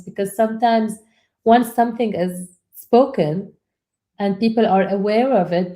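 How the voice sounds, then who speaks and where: A woman co-hosting a webinar, talking casually and haltingly, with frequent restarts and repeated words. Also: medium pitch, warm tone. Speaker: webinar co-host.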